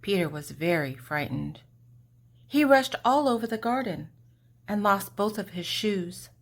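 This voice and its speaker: An older woman narrating a children's storybook expressively, with deliberate dramatic pauses and emphasis. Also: medium pitch, lively intonation, creaky.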